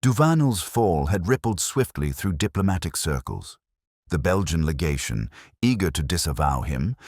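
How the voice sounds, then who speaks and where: Very deep-voiced male storyteller. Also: no echo, clean studio sound. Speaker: audiobook narrator. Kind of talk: scripted detective story narration.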